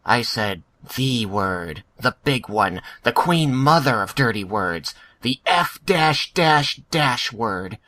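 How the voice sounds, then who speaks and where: A man's voice: medium pitch, lively intonation, slow, hardly any pauses, pushed and bright, pitched below the performer's own voice. A man playing a wry grown-up narrator recalling boyhood, mock-dramatic, in an amateur table read. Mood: wry nostalgia.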